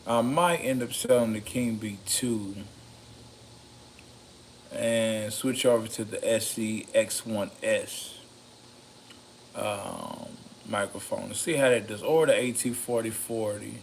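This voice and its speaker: Raspy man, slow meandering casual talk, loose and a bit tipsy, faint room hum behind. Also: fairly low pitch. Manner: slow, meandering, many ums and slangy phrasing. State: a bit intoxicated. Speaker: home studio vlogger reviewing microphones. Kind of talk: rambling casual talk to camera.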